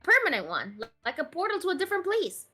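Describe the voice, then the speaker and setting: A woman acts out a bubbly young anime mage from a visual novel, high and bright, with a very lively swing. She is clingy and stubborn, pouting one moment and playful the next, with music playing behind her. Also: quick pace, exaggerated voice. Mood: eager. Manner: playful, pouty, excitable. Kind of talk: voicing a visual novel character live.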